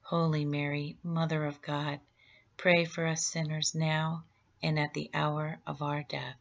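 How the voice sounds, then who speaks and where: A woman leading a rosary, reciting prayers in a slow, steady and reverent way. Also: low-pitched, creaky, muffled recording. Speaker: prayer leader.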